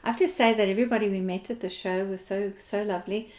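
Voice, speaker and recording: A woman's voice: medium pitch, fairly even intonation, quick pace, darkish tone. Effusive South African woman hosting a chat show. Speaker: talk show host. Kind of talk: chatty live show hosting and interviewing.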